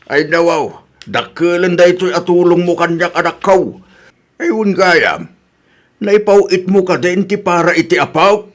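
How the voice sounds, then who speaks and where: A man's voice: high-pitched, measured pace. A man playing a kindly old father who gives fond, approving advice in a radio drama. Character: kindly old father, grateful and fondly advising his son. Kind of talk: comedy radio drama acting. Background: music.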